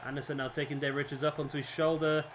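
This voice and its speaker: A man, his voice a little hoarse, doing casual play-by-play commentary over a wrestling video game, rambling with fillers and correcting himself. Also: on the high side, little pitch movement, rapid-fire, heavy background noise. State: slightly hoarse, losing his voice. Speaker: gameplay commentator.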